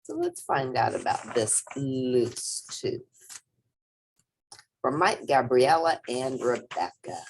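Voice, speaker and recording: A woman's voice: extremely low pitch, expressive, slow pace, a little mellow, a little hiss or hum, rough recording. A woman reading a children's storybook aloud expressively, speaking directly to young listeners. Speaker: storybook reader.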